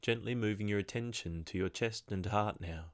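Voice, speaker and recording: A man slowly guiding a body scan meditation in a soft, calm voice. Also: on the low side, no room sound, clean studio sound. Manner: slow, soft, calm instructions. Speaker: meditation guide. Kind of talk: guided meditation.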